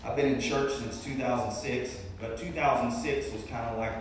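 A man's voice: mid-range voice, steady tone, moderate pace. A young man preaches his testimony in a large church, and his voice rings through a very echoey hall. He is picked up from a distance, with faint room noise underneath. His delivery is casual, rambling, and self-deprecating. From Arkansas. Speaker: guest preacher. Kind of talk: testimony sermon to a congregation.

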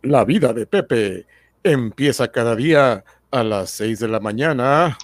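A man narrating scripted radio drama as a storyteller, in a deliberate announcer-like manner. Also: mid-range voice, expressive. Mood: measured. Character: measured male storyteller of an everyman's day.

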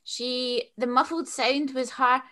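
Bright high-voiced woman. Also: slow. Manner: giggly, animated storytelling. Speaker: podcast co-host. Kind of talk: casual comedic chat.

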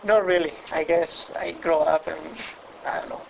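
This is a teenage boy who speaks English with a Spanish accent in a gravelly, flat voice. He answers shyly and hesitantly. A light hiss sits in the background. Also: a little high, moderate pace, rough recording. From Spain. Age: teens. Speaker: exchange student guest.

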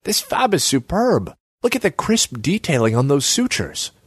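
Man acting a cocky, sneering gangster, lively pitch. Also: moderate pace, no echo, studio quality. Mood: eager. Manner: taunting, slangy. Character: cocky, sneering young male street gangster, slangy.

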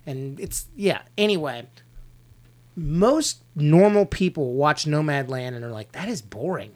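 A man's voice: fairly high pitch, animated. A man from the American South with a Southern accent, co-hosting a casual movie review podcast and giving blunt, opinionated takes. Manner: blunt, opinionated, quick to rant. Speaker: podcast co-host; actor.

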